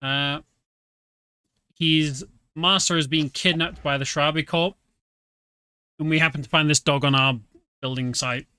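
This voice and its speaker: A man's voice: on the high side, fairly even intonation, a little slow, pauses often, bright, forceful tone, clear, resonant tone, dry recording. A man casually commentating a video game stream, explaining the story as he plays. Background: game music.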